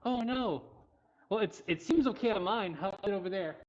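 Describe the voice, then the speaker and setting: A woman's voice: mid-range voice, expressive, moderate pace, a little mellow. A woman hosting a casual livestream, chatting informally and speaking affectionately to animals. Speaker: cat cafe livestream host. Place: indoors in a cat cafe. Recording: dull livestream audio, music behind.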